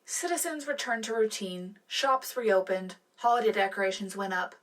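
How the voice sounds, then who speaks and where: A woman's voice: medium pitch, moderate pace, far from the mic, natural voice, low-quality recording. Civic-minded female co-anchor woman acting, sci-fi radio drama, mid-range, polished, a touch off-mic. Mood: composed. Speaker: radio news co-host. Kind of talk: scripted news broadcast.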